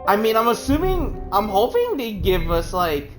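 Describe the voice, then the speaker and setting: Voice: medium pitch, very animated, moderate pace. A game streamer casually thinking aloud and reacting during gameplay. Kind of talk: live gameplay commentary. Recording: game music playing behind.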